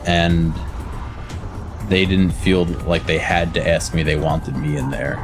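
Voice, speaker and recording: Low-voiced male game streamer with a lisp. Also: fairly even intonation, slow. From United States. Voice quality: slight lisp. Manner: rambling, answers chat, hesitant fillers. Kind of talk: casual game-stream commentary. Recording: game music playing behind.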